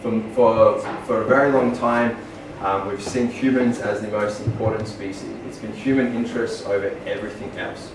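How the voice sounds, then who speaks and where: Aussie guy presenting in an echoey room from far off, noisy background, lots of ums. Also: medium pitch. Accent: Australian. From Australia. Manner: earnest, with many ums and restarts. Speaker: speaker. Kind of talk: public presentation. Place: small venue, far from the mic.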